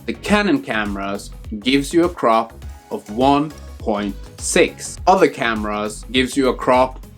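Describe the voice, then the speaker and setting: A man with a Norwegian accent, speaking English as a second language, walks through camera gear in a careful, deliberate way, picking each word. His voice is captured on a phone, with clear background music running under it. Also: medium pitch, lively intonation. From Norway. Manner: careful, step-by-step explaining with deliberate word choice. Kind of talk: explanatory tech vlog.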